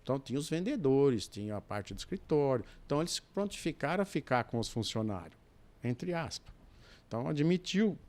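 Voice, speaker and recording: A man's voice: medium pitch, sing-song, fairly quick, darkish tone. Older Brazilian man telling rambling stories. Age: 60s. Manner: rambling, restarts often, adds asides. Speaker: podcast guest, businessman and dispatcher. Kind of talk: relaxed storytelling in a chat.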